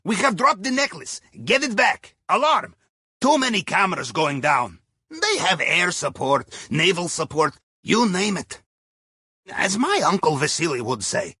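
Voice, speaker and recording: Russian video game heist planner, wry and chatty, bright raspy voice, super dry close recording, folksy broken english. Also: male voice, a little high, dry recording, exaggerated voice. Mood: urgent, brisk, then wry amusement. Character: wry, jovial Russian submarine captain's heist planner, male. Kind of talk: video game mission dialogue over radio.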